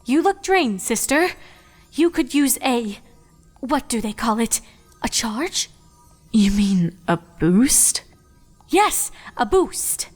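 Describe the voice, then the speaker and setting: A woman's voice: on the high side, very animated, slow, long pauses, long reverb tail, soft background music, full character voice. A woman voicing a regal pony princess of the night who speaks in a formal, old-fashioned way. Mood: formal, concerned. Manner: archaic, stately formal phrasing.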